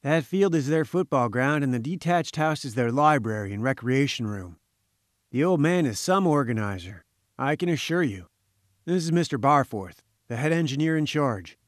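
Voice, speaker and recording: A man's voice: mid-range voice, lively intonation, normal speed, no room sound, studio quality, natural voice. A man voicing a genial, wry journalist in a storybook, friendly but firm, with breezy old-chap slang. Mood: informative, proud.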